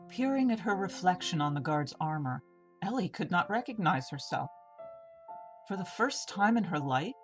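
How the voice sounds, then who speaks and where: A woman reading scripted fantasy fiction as the narrator of a story. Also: lowish voice. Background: plucked string music, guitar.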